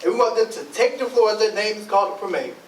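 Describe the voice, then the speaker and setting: A man's voice: high-pitched, animated, racing. A man playing a folksy, showy announcer in a staged play, declaiming in Southern folk dialect. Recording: roomy, distant mic, faint noise.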